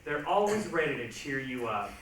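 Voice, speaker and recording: Animated male stage reader, distant and echoey. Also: mid-range voice, moderate pace, a little hiss or hum. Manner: projected, theatrical. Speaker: stage performer. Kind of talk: readers' theatre comic narration.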